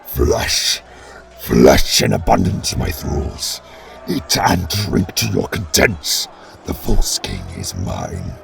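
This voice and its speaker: A man voicing a hulking, bloodthirsty monster warlord with a warped, breathy, snarling voice and a slow, gloating delivery. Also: very deep voice, huge pitch swings, recorded from a distance, soft background music, exaggerated voice. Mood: gloating menace. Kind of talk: audio drama reading.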